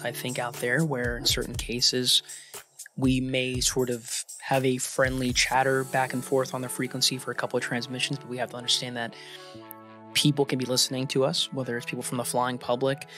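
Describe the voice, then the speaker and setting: A man working as an air traffic controller talks informally in an interview, telling stories with slang and acting out bits of dialogue. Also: medium pitch. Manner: animated, informal, uses slang and quoted dialogue. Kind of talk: casual conversational storytelling, acting out radio exchanges.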